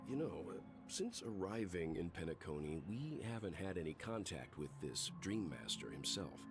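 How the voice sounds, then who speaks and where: Game role: wise, reassuring middle-aged gentleman, extremely low pitch, polite and measured, over music. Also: big pitch swings, vocal fry, full character voice. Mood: calm concern. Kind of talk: video game voice acting.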